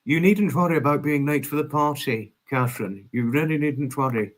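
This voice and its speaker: A man's voice: a little high, moderate pace. Effusively polite young man hosting a livestream. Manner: effusively polite, wordy, formal phrasing. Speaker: livestream host. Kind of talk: casual livestream chat, reading out and answering viewers' comments.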